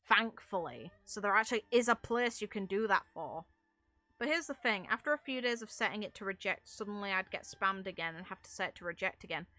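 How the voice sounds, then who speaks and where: A woman's voice: mid-range voice, animated, brisk, sharp tone, no room sound. A young adult woman who streams games, chatting casually and informally with viewers in a rambling way. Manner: informal, rambling, mild swearing. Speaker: game streamer. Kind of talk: casual livestream chat with viewers.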